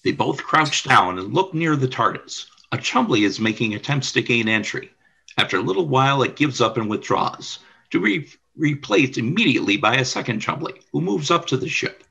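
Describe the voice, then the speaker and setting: Husky, bright-voiced male narrator. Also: medium pitch, very animated, moderate pace, highs cut off. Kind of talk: scripted radio play narration.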